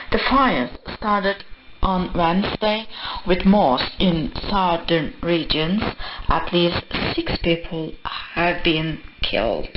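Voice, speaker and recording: Clear static surrounds a dull, band-limited shortwave broadcast. A woman with a slightly low voice reads a scripted news bulletin. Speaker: radio newsreader.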